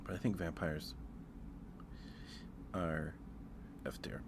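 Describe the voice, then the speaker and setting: Male ASMR streamer, deep-voiced and slow, rambling informally, with clearly audible ambient noise behind him. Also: fairly even intonation. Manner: hesitant, rambling, repeats phrases. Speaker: livestream host. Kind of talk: casual ASMR livestream chat.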